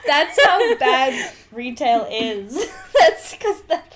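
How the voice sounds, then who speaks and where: A woman's voice: sits high, very animated, drawn-out words, a crowd in the background. A young woman co-hosting a casual podcast, irreverent and given to exaggeration, swearing freely and often laughing as she talks. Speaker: podcast co-host. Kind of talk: casual, bantering podcast chat.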